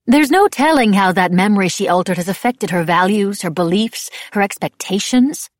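A woman's voice: mid-range voice, lively intonation, normal speed, no room sound, clean studio sound, close to an everyday voice. A woman voicing a stern, irritable older noblewoman and witch in an audiobook, haughty and emphatic as she lectures. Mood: haughty, lecturing.

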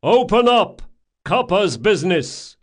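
Loud male street thug for an audiobook, done by a male narrator, raised pitch, shouted, rough and demanding. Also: very animated, takes it slowly, exaggerated voice. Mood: gruff demanding. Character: loud male gang tough hammering out demands.

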